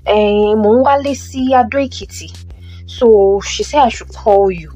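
A woman's voice: medium pitch, normal speed, warm tone, husky, faint music behind, lightly acted. A woman with a Nigerian accent playing a polite, eager young mother in an audio drama. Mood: eager, deferential. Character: Tumi, eager, deferential young mother seeking a flat. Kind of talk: audio drama acting, Yoruba-English phone conversation.

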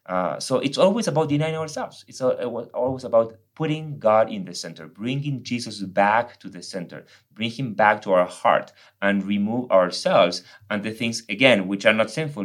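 A middle-aged Argentine priest whose first language is Spanish, earnestly explaining faith in a podcast conversation. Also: male voice, fairly low pitch. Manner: earnest, explaining with examples and quotes.

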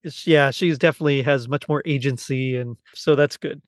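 A man's voice: mid-range voice, steady tone, fairly quick, dry recording, clean studio sound. A middle-aged man in a casual fan chat, talking as a podcast co-host.

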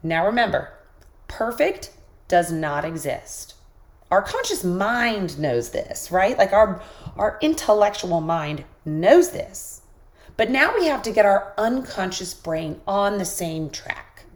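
A woman hosting a podcast, giving an encouraging motivational talk while sick with a squeaky voice. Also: sing-song, slow pace. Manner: encouraging, coaching listeners directly. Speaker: podcast host.

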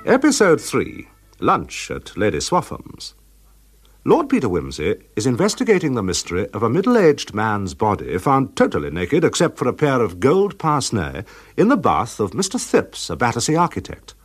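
A man's voice: mid-range voice, wildly swooping pitch, normal speed, slightly rough. A man with a British accent reading scripted narration as the announcer of a radio drama. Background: music.

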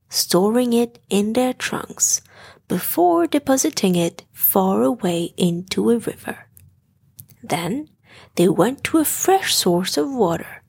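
A woman narrating a scripted bedtime story in a soft, gentle storytelling manner. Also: medium pitch, vocal fry, husky. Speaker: storyteller, podcast host.

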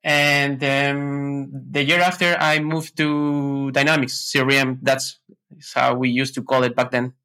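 A man with an Ecuadorian Spanish accent talks casually as a podcast guest. His voice is bright and forceful at a middle pitch. He speaks in a somewhat halting, hesitant way. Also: little pitch movement, clear, resonant tone. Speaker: podcast guest, tech consultant. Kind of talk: casual interview chat.

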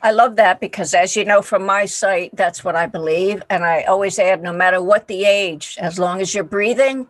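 A woman's voice: medium pitch, moderate pace, hardly any pauses, no room sound, poor audio. A woman hosting a podcast interview, speaking in an encouraging way with frequent "uh"s. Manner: warmly encouraging, with filler "uh"s. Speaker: podcast host. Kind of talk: interview chat.

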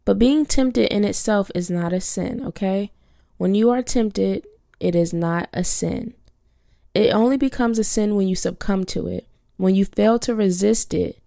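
A woman's voice: medium pitch, a little slow, vocal fry, mellow, no echo, clean studio sound. A young woman hosting a faith-based podcast, congested from a cold, teaching in an earnest, preachy way and repeating her key phrases. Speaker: podcast host.

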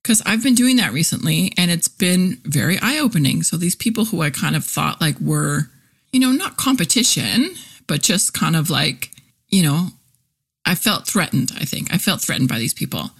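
A woman's voice: sits low, big pitch swings, normal speed, vocal fry, slightly rough. A woman in her forties hosting a casual solo podcast, chatty and candid, full of fillers and easy laughs. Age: 40s. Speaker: podcast host, copywriter and content teacher.

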